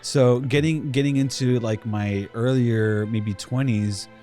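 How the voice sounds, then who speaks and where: A man's voice: medium pitch, steady tone, slow, mellow, faint music behind. A man in his thirties chatting casually as a podcast host, rambling and repeating words as he gathers his thoughts. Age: 30s. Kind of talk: casual conversation.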